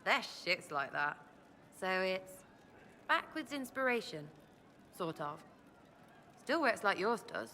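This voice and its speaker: A young, irreverent street rebel for a fantasy game. She has a British accent, a mid-range pitch and a cheeky, slangy, choppy way of talking. Clear ambient noise sits behind her. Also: very animated, slow, pauses often, pushed and bright, exaggerated voice. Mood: defiant, scornful. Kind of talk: video game dialogue acting. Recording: clearly noisy ambient background.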